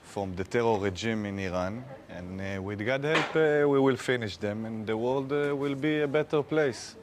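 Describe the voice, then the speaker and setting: A man with an Israeli accent, a restaurant owner answering interview questions in simple, halting English. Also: medium pitch. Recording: clear ambient noise.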